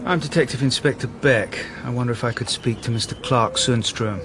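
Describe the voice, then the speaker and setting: An actor playing a courteous, quietly insistent British detective inspector in a radio drama. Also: male voice, medium pitch, noisy background, lightly acted. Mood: polite, insistent. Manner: courteous, measured, firm. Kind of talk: naturalistic radio drama acting.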